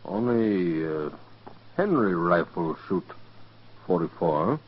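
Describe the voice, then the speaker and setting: Radio western army scout: stoic native tracker, slow, halting broken English, dull vintage recording. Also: male voice, low-pitched, animated, breathy edge, exaggerated voice. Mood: blunt, questioning. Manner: halting broken English in short clipped phrases. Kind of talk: 1950s radio western acting.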